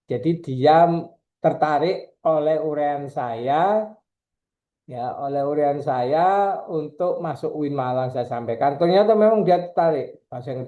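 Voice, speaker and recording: A man's voice: a little high, lo-fi sound. A middle-aged male university lecturer, giving a chatty, digressive classroom lecture and teasing his students.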